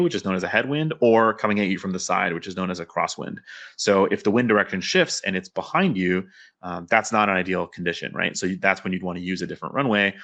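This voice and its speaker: A man speaks as a presenter at a technical talk. His voice sits low but has a bright, forward edge. He explains in an informal, conversational way at a brisk clip. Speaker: conference speaker, developer and pilot.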